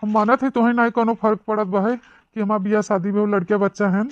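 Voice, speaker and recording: A man's voice: sits high, fairly even intonation, fairly quick, no echo, close to an everyday voice. A man voicing a meek, simple married office man in a cartoon comedy, hesitant and stammering, with an Awadhi accent. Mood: smitten, confessing. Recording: muffled, dull, lo-fi sound.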